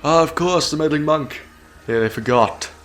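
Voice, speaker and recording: A man's voice: fairly high pitch, normal speed, fairly bright tone, soft background music, close to an everyday voice. A man playing a befuddled, flippant, eccentric hero in a fan audio drama, scatty and quipping.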